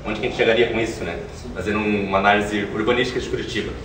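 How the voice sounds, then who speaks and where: Fast-talking young man in a roomy space. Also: fairly low pitch, steady tone. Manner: informal, colloquial, thinks aloud. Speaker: theatre artist being interviewed. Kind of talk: animated conversational interview answers. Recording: roomy, distant mic, faint noise.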